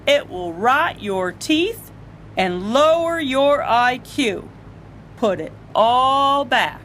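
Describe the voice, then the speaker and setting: A woman's voice: high-pitched, animated, drawn-out words, a bit bright, a little hiss or hum, full character voice. A woman voicing an exasperated father who scolds emphatically in a children's storybook.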